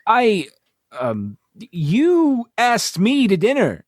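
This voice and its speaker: A man's voice: high-pitched, wildly swooping pitch, very slow, no room sound, studio quality, full character voice. A man playing a witty, self-deprecating space station commander in an audio drama, with a wry, hesitant delivery. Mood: mock wounded.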